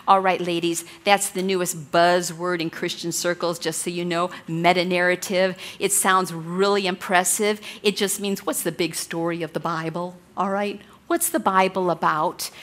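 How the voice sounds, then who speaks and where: Woman, Bible study teacher, loud and encouraging, bright voice. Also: mid-range voice. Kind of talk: women's Bible study lesson. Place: meeting room with a blower.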